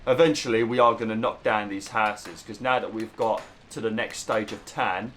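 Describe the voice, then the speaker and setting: Slightly hoarse young British man, lowish voice. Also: moderate pace, fairly bright tone, a little hiss or hum. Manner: chatty, swears mildly, bursts into exclamations at the game. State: slightly rough, hoarse throat. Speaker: let's play host. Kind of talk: casual gameplay commentary.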